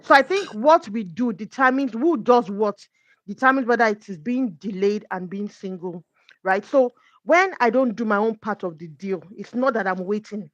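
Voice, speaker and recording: A woman's voice: medium pitch, animated, normal speed, no echo. Earnest woman coach with a dull, dry sound. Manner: earnest, explains with examples. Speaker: guest coach. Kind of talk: conversational faith talk.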